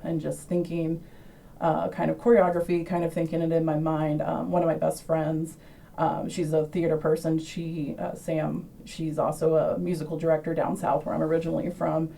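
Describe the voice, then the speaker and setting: A woman who directs the school musical, explaining her planning in a measured way with some ums, recorded away from the mic. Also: low-pitched, level tone, vocal fry, mellow, distant mic. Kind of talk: thoughtful interview answer.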